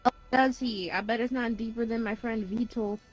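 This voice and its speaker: A woman's voice: a little high, fairly even intonation, normal speed, breathy edge. A young woman chatting casually, offhand and full of fillers, on a dull lo-fi recording. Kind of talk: casual chat. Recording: dull lo-fi sound.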